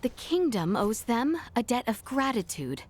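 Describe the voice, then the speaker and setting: A young princess in a fantasy video game. Her voice sits fairly high, and she speaks with stately, measured diction, poised and determined. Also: big pitch swings, darkish tone. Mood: composed, firm. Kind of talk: scripted video game acting.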